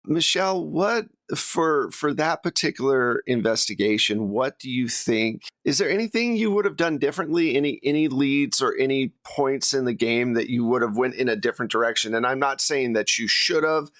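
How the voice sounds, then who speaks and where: A man's voice: mid-range voice, lively intonation, normal speed, few pauses, fairly bright tone, dry recording, studio quality. A man hosting a casual debrief of a tabletop game, talking in a rambling, conversational way and putting questions to the players. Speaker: host and game master of a tabletop actual-play show.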